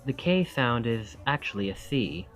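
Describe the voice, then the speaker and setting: A man's voice: medium pitch, very animated, moderate pace, a bit bright, soft background music. A man acting a charming, friendly young college student in an intimate ASMR roleplay, with a self-deprecating, thoughtful and wry manner. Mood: sheepish, friendly.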